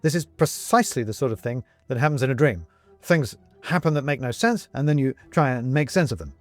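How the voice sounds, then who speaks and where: A man voicing a confused, agitated gentleman who keeps losing his memory, stammering and growing frantic. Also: medium pitch, animated, a little fast, soft background music, pitched above the performer's own voice, close to an everyday voice. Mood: bewildered. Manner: stammering, flustered, demanding. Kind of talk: audiobook character reading.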